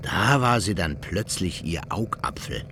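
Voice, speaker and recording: A man's voice: deep voice, lively intonation, unhurried, noisy background. An actor playing a dry, scornful small-town man telling local gossip in a radio play. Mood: sardonic. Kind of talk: radio drama storytelling.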